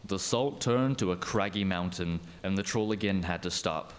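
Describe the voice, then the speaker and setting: A man's voice: low voice, moderate pace, a little hiss or hum. A man narrating a scripted folk tale as a storyteller.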